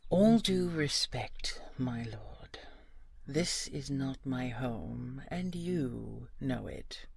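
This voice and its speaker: A proud, guarded dragon queen from a fantasy audio drama, played by a woman. The voice is husky and full of gravelly creak, and every phrase comes extremely slowly. The manner is formal and restrained, with wry deference. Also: extremely low pitch, animated, a little mellow, dry recording, exaggerated voice. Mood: defiant, wry. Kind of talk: audio roleplay fantasy acting.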